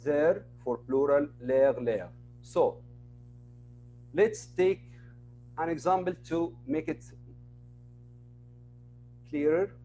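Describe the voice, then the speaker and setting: A male teacher leads an online class through a computer mic. His voice sits a little high, and he speaks at a very unhurried pace. He patiently repeats each word and example for his students. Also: mellow, slight background noise, low-quality recording.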